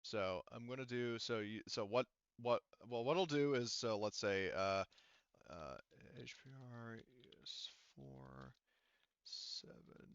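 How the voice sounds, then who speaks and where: A man running a tabletop sci-fi game as game master, explaining haltingly and often circling back to rephrase. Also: mid-range voice, vocal fry, clean tone, no room sound, lo-fi sound.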